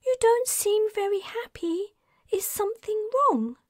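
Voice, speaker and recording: A woman's voice: extremely high pitch, a little slow, no echo, clean studio sound, voice pushed up. A woman voicing a cheerful, eager young male mage in an audiobook. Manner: bright and upbeat, innocently cheery.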